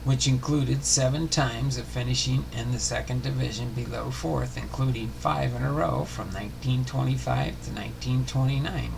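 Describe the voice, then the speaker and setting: A man reading a scripted sports history narration aloud, haltingly, often stumbling and correcting himself. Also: mid-range voice, gravelly, background noise. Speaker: host of a sports history show. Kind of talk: scripted narration read aloud, baseball biography.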